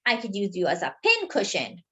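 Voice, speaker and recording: A woman's voice: medium pitch, huge pitch swings, brisk, sharp tone. A woman voicing a kindly, reasonable, slightly fussy man in a children's storybook, earnest and reassuring. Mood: hopeful.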